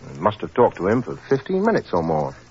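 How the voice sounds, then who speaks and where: An eager, plodding constable in an old radio drama. His husky voice swings widely in pitch and his talk is folksy and respectful. The recording is muffled. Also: wildly swooping pitch, a little fast, darkish tone, exaggerated voice. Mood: earnest, deferential. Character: earnest, deferential working-class London police constable, eager and plodding. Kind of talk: 1940s radio acting.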